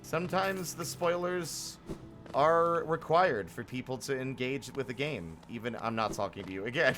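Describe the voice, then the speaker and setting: A man's voice: medium pitch, normal speed, a bit bright. A man chatting casually and expressively to viewers while live-streaming a game, with some swearing. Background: game music.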